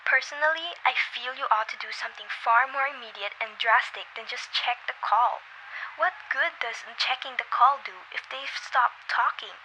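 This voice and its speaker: An anxious, self-pitying invalid wife in an amateur radio play acted by a young woman. Her voice sits high and she presses her demands fretfully. The recording has clear background noise. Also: normal speed, sharp tone, low-quality recording. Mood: insistent. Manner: fretful, insistent. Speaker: student voice actor in a radio play. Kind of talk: amateur radio drama acting.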